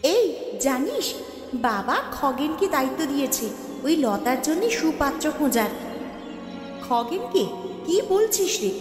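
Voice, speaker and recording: Female actor as jealous young village sister, cartoon, gossipy, whiny, lively pitch, music behind. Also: measured pace. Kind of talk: Bengali cartoon story acting. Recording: echoey hall reverb, clear background music.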